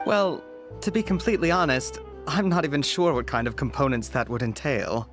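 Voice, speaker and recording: A man's voice: a little high, brisk, a bit bright, soft background music, natural voice. A man playing a polite, cooperative clockwork shopkeeper in a video game, with courteous customer-service speech. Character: polite male clockwork toy shopkeeper, cooperative, slightly puzzled.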